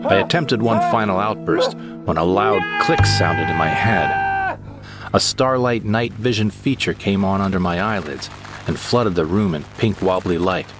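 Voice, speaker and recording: A very deep male voice tells a first-person story with dramatic, lively swings in tone. His delivery is scripted narration for an audio drama. Loud music plays beneath him. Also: normal speed. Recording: narration over loud score.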